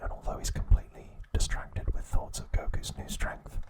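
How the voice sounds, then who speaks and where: A man narrating ASMR in a hushed, breathy whisper, in short measured phrases. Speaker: ASMR host. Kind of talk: whispered ASMR narration, recounting episode summaries.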